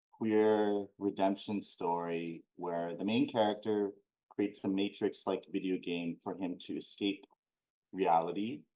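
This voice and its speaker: A man from Hawaii, a playwright guest, answering interview questions casually and haltingly. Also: sits low, a little mellow, rough recording.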